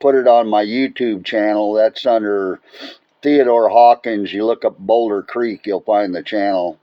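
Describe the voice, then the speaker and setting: A man's voice: on the low side, steady tone, measured pace, dull audio. An American man chatting casually as a radio operator in a rambling, folksy way. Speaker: amateur radio operator, channel owner recording contacts.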